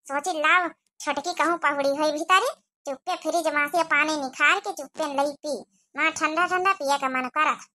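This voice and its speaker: Woman acting as young village daughter-in-law, Awadhi accent, dramatic, cartoon comedy, piercingly high, bright. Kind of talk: rural cartoon comedy dialogue.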